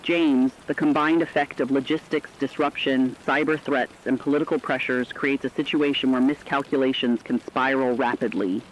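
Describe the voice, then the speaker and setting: A man's voice: mid-range voice, fairly even intonation, normal speed, few pauses, highs cut off, a little hiss or hum, close to an everyday voice. A man playing a serious radio war analyst, giving scripted emergency news commentary in a formal, emphatic way. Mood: serious, urgent.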